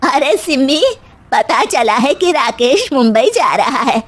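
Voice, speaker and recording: A woman's voice: high-pitched, animated, slow pace, noisy background, voice pushed up. Woman playing an older, fretful mother-in-law. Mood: concerned.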